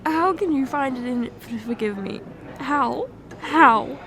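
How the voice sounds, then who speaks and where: A young woman performing an emotional roleplay confession, halting and tearful, with many sighs and restarts. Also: high-pitched, lively intonation, crowd noise. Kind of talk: emotional ASMR fiancée roleplay monologue.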